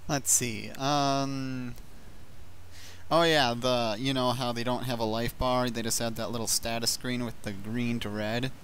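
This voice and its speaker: Male, mid-pitched, casual gamer banter with swearing and sarcasm, faint noise behind. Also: little pitch movement, unhurried, fairly bright tone. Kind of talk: casual chat about a video game.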